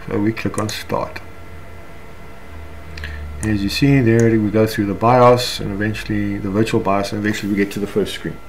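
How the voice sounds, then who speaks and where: A man with a South African accent talks through a software screencast step by step, unscripted and halting. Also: lowish voice, level tone, low-quality recording. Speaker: software tutorial instructor. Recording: clear background noise, room ambience.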